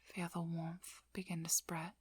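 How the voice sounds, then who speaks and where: A woman playing a composed, guarded female vampire hunter in an ASMR role-play, breathy and often whispering, with controlled, firm delivery. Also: fairly low pitch, completely flat delivery, dry recording. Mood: calm, hypnotic. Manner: slow, soothing instructions, firm and controlled. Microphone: close ASMR microphone.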